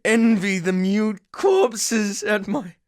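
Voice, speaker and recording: An actor playing a grave, bitter writer in a game, delivering dramatic monologue in a solemn, emphatic way. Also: male voice, sits high, sing-song, slow pace, dry recording, studio quality, full character voice. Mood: anguished, tormented.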